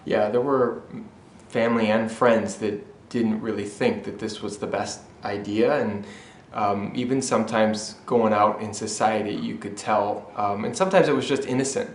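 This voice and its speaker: A man from Michigan talks through his testimony in an easygoing, conversational way, often pausing for the right word. His voice sits low and stays fairly even. The recording carries noticeable room echo with a faint hiss beneath. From Michigan, USA. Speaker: husband sharing a church testimony. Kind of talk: personal testimony, interview-style.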